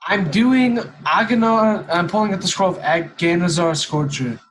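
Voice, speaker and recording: Higher-voiced man, blunt and slangy. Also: normal speed, a little hiss or hum. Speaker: player in a tabletop role-playing game. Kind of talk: casual game-table chat.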